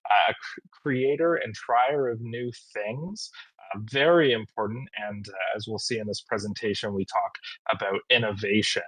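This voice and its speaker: A man's voice: mid-range voice, dry recording, rough recording. A man hosting a college webinar over a video call, upbeat and chatty with frequent fillers. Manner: friendly, filler-heavy, upbeat. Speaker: webinar host, college recruitment manager. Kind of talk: casual webinar introduction.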